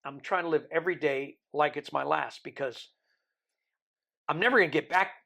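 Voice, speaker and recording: A man in his sixties, from the Isle of Man, with a Birmingham accent softened by decades in America, telling his life story in an interview with rambling, joking asides. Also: fairly high pitch, normal speed, creaky. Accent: American-tinged Birmingham English. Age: 60s. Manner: rambling, joking, self-deprecating. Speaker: interview guest.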